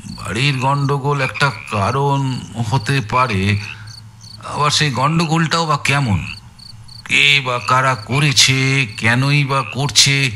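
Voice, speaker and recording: A man's voice: mid-range voice, slow pace, pauses often, pushed and bright, slight background noise. An actor playing a shrewd detective in an audio story, musing aloud and repeating words as he reasons and instructs. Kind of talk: Bengali audio story acting.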